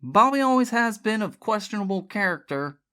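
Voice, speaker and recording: Audiobook villain: raging older uncle, played by a female narrator, commanding, loud, snapping, big pitch swings. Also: animated, moderate pace. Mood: stern command. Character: stern, vengeful older uncle, villain boiling with rage.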